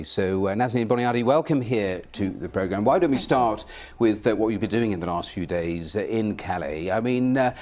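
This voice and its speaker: Low-voiced male TV interviewer. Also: darkish tone. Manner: polished, measured introductions. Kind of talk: broadcast interview.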